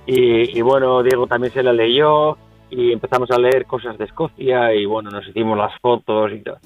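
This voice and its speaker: A man from San Sebastián, a musician guest in a casual radio interview, talking haltingly with many restarts. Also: medium pitch, steady tone, dry recording, narrow, tinny audio, soft background music. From Venezuela, raised in San Sebastián.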